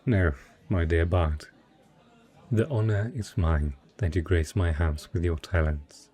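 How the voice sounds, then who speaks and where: A man playing a wealthy, suave and possessive merchant patron in a romantic fantasy audio roleplay, speaking in a courtly, husky voice straight to the listener. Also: deep voice, takes it slowly, dark tone, exaggerated voice. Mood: courteous, intrigued. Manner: courtly, formal, smooth-talking flirtation.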